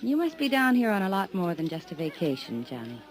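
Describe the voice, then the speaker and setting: A woman's voice: on the low side, big pitch swings, brisk. An actress playing a teasing, mysterious woman on vacation in a 1950s radio drama. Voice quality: coy, teasing. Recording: old radio recording with music.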